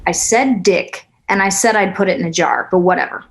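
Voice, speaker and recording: A woman's voice: medium pitch, a little fast, natural voice. A woman acting a tough, weary, guarded single mother in a naturalistic screenplay read, blunt and sardonic. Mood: defiant, sardonic. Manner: blunt, sardonic, plain-spoken.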